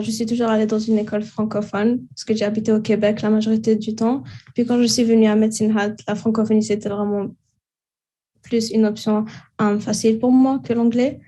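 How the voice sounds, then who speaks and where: Flat, mellow-voiced teenage girl. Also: a little high. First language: French and Arabic household. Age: teens. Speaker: high school student. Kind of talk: spoken testimony.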